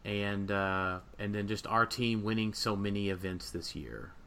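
A man hosting a casual gaming podcast, rambling and often pausing to gather his thoughts. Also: on the low side, pushed and bright, slight background noise. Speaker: podcast host and competitive gamer. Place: voice recording for a group podcast.

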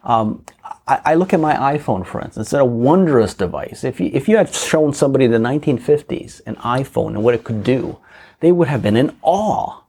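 A man's voice: medium pitch, lively intonation, brisk, warm tone, husky. A man whose first language is Portuguese, talking reflectively in a casual podcast discussion and often adding a questioning "right?". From Portuguese-speaking country. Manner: reflective, asks rhetorical "right?".

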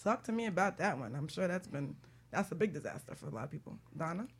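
A woman's voice: on the low side, very animated, fast talker, husky. Casual, playful woman hosting a talk show.

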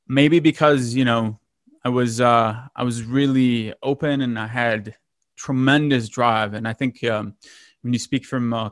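A man's voice: mid-range voice, a bit bright, no room sound, studio quality. A man in his late twenties from Lithuania, telling his story in a casual conversation, rambling with many fillers and restarts. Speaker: guest.

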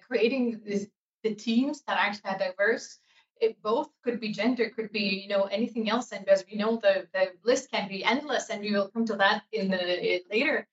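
A woman speaking as a guest on a panel discussion, reflective and pausing to search for words. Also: medium pitch. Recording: dull lo-fi audio.

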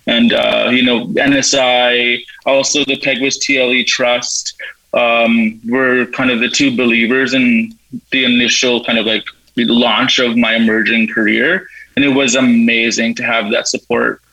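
A man's voice: medium pitch, flat delivery, a little slow, vocal fry, pushed and bright, dry recording, rough recording. Eager, chatty man in his thirties. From Canada. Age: 30s. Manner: eager, chatty, laughs often, lots of "like". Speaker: guest, writer and producer. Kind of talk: casual interview answers.

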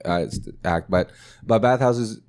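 A man's voice: lowish voice, unhurried, a little mellow. A man from Taiwan, a comedian guest on a podcast, chatting in a goofy, self-mocking way. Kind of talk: casual podcast chat.

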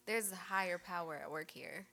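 A woman's voice: lowish voice, pushed and bright. A young woman from New Jersey, co-hosting a casual chat, chatty and playful. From Camden, New Jersey. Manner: chatty, quick to laugh, playful.

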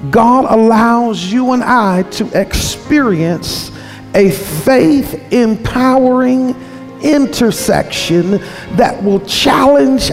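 A man preaching a sermon in an emphatic, repetitive cadence that sometimes slides into half-singing. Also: extremely high pitch. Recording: reverberant hall, faint music behind.

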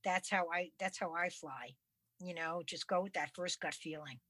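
A woman's voice: lowish voice, moderate pace, a bit bright, dry recording, clean studio sound. A woman co-hosting a casual podcast, easygoing and agreeable, emphatic when giving advice. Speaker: podcast co-host.

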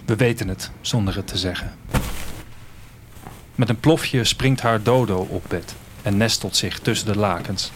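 A man's voice: on the low side, measured pace, background noise, lightly acted. An actor playing a reflective man of a future world, narrating a radio drama intimately in the first person. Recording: music under the voice. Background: music.

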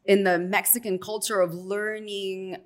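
A woman, a radio personality chatting as a guest in a lively, slangy storytelling way full of fillers. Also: medium pitch, unhurried. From Chicago, USA. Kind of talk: casual interview talk.

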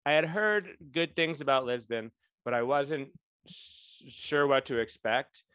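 A man's voice: medium pitch, measured pace, fairly bright tone, smooth, dry recording. A man co-hosting a podcast in casual chat, rambling with lots of fillers and restarts. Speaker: podcast co-host.

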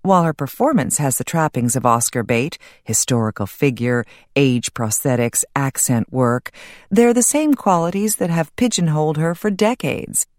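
A woman reading a nonfiction audiobook as narrator, in a measured, articulate and wry way. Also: low voice, lively intonation, darkish tone, no echo, studio quality.

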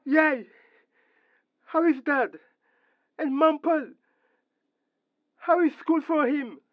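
Actor as high-voiced young son on phone. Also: male voice, takes it slowly, dry recording. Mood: remorseful, tender. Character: earnest young migrant son phoning home. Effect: phone line filter. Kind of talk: audio drama acting. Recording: thin bad phone line.

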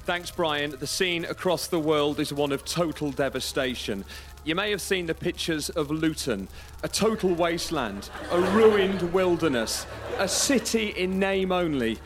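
Earnest field reporter, high-pitched, mock-serious, heavy noise behind. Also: male voice, expressive, measured pace. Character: earnest male news correspondent reporting from devastation. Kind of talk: mock radio news report.

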